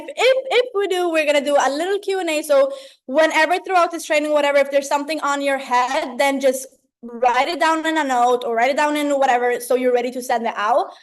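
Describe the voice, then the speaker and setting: A young woman. She leads an online training like a mentor among friends, chatty and animated, slipping into slang and often checking in with her listeners. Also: sits high. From Sweden. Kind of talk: informal motivational talk to a group on a video call. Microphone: video call.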